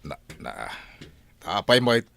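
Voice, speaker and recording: A man's voice: on the low side, very animated, slow pace, creaky, pushed and bright, exaggerated voice. An actor with an Ilocano Filipino accent playing a grumbling, stingy middle-aged village husband and father in a radio drama, blunt and exclamatory. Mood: exasperated refusal.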